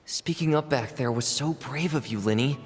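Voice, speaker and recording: An actress playing a calm, quiet young woman in a game cutscene, speaking in a soft, even tone. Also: rumbling low, moderate pace. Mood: admiring. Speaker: video game character. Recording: reverberant hall. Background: faint music.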